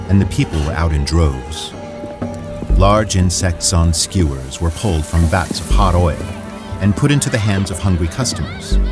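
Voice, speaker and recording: A man narrating an audio drama as a measured, atmospheric storyteller. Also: low voice, lightly acted. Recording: loud music under the voice.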